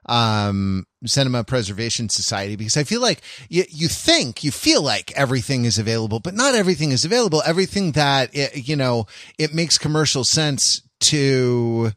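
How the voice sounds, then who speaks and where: A middle-aged American man with a medium-pitched voice reflects casually on a podcast. He is introspective and halting, often cutting himself off to rephrase, and the sound is dry with no room echo. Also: sing-song, fairly bright tone. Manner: halting, self-interrupting, introspective. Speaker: podcast co-host.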